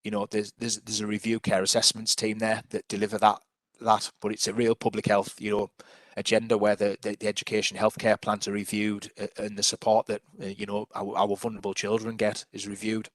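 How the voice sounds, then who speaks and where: Low flat-voiced man presenting. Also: brisk, no room sound. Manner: hesitant, many restarts and fillers. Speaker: public health officer presenting. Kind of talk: council meeting presentation.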